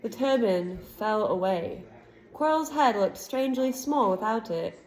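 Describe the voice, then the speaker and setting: A woman reading a chapter book aloud to a child, with expressive narration and relaxed chatty asides. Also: fairly high pitch, measured pace, rough recording. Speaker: storybook reader.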